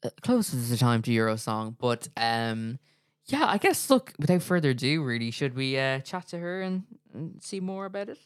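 A man's voice: medium pitch, sing-song, normal speed, clean tone, no room sound, studio quality. An Irish man co-hosting a podcast, chatting casually with many fillers and frequent laughs. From Ireland. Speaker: co-host.

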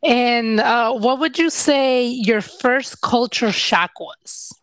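A woman's voice: on the high side, slow, no echo. A woman hosting an interview podcast, often reacting with wows and laughter and using many fillers. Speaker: podcast host. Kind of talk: casual interview talk.

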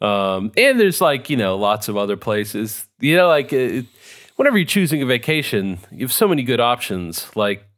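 A man's voice: mid-range voice, sing-song, normal speed, a bit bright. A middle-aged man co-hosting a podcast, rambling in a wry, chatty way and laughing often. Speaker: podcast co-host. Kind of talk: casual chat.

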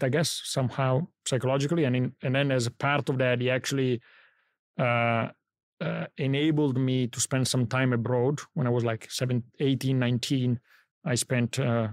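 A middle-aged Italian-accented man speaks as a podcast guest, his pitch sitting squarely in the middle range. He pauses often to think aloud as he recounts his path, and the studio sound is close and dry with no room echo. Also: fairly even intonation. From Italy. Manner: reflective, thinks aloud while telling his story. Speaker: guest, company founder. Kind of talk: podcast interview.

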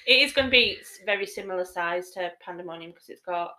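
A woman's voice: lowish voice, quick pace, bright, forceful tone. A woman co-hosting a casual crafting podcast, wry and teasing, while losing her voice. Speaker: podcast co-host. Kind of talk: casual chat.

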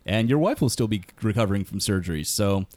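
A man in a casual family chat, teasing with wry, deadpan asides. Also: on the low side, fast, no echo. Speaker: podcast co-host.

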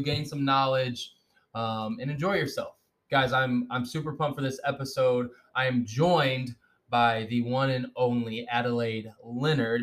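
A man's voice: mid-range voice, a bit bright. A young man hosting a casual podcast, upbeat and joking, with plenty of fillers. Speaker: podcast host.

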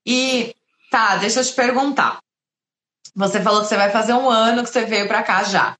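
A woman's voice: on the high side, steady tone, normal speed, a bit bright, reverberant. A woman in her thirties hosting a live stream, interviewing a guest in a friendly, enthusiastic way. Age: 30s.

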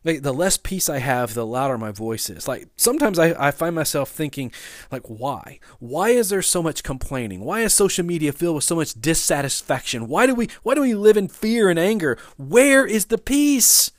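A man, a father of young sons, giving a conversational sermon that opens with a personal story. He talks in a loose, halting way, with many restarts and fillers. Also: fairly high pitch, big pitch swings, dry recording, clean studio sound. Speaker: pastor.

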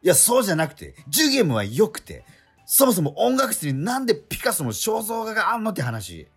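A man's voice: sits high, huge pitch swings, husky, faint music behind, full character voice. An actor playing an exasperated schoolboy straight man in a comedic radio drama, quibbling and retorting in disbelief. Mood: baffled, exasperated. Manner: incredulous, quibbling, rapid retorts.

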